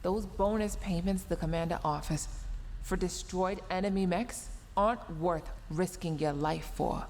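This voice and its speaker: A woman's voice: on the low side, unhurried, darkish tone. A woman playing a scolding but secretly caring young female mech engineer in a sci-fi audio roleplay, huffy, flustered and prone to stammering. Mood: stern, worried. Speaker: voice actor. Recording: long reverb, clear background noise.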